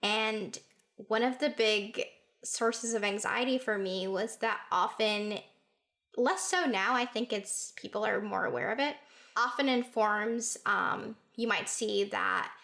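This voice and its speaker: Woman born in Sudan, podcast co-host, gravelly fry, earnest and conversational. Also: mid-range voice, measured pace, pushed and bright. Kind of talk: casual chat.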